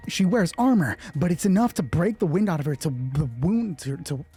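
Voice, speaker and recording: Male solo game master, husky and slightly high-pitched, casual sweary narration of dice rolls and action, music underneath. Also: animated, normal speed, mellow. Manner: casual, swearing freely, thinking aloud. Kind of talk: narrating solo tabletop roleplay, reading out dice results.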